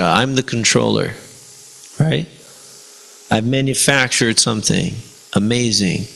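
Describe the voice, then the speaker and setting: Male teacher, Spanish first language, gravelly voice, casual class talk. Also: medium pitch, a bit bright, low-quality recording. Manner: conversational, many fillers and rhetorical "right?". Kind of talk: informal devotional class talk.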